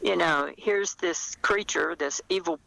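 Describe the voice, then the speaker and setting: An older woman speaks thoughtfully in an interview, with a creaky, gravelly edge and a bright, forceful tone. She explains things conversationally, often pausing to find the word. The sound is very dry. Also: lowish voice, no echo, low-quality recording. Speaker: novelist, interview guest.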